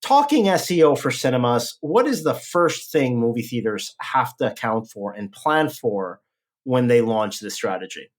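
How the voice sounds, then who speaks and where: A man hosts a podcast in a mid-range voice. He sounds brisk and upbeat as he introduces segments and talks with his guest. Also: mid-range voice, big pitch swings. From Mexico. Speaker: podcast host. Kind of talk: casual podcast chat and interview.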